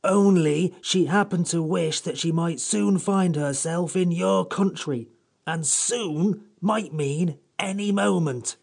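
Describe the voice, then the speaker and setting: A man voicing a grumpy, sarcastic sand fairy creature in an audiobook, with a slow, pompous, withering drawl. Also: fairly high pitch, gravelly, slightly rough, pitched above the performer's own voice, exaggerated voice. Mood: withering sarcasm. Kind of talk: audiobook character voice.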